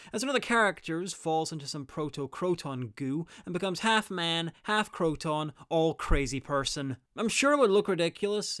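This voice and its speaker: A man's voice: on the high side, a bit bright, clean tone, dry recording, clean studio sound. A man delivering scripted review narration as a host, with wry, opinionated asides.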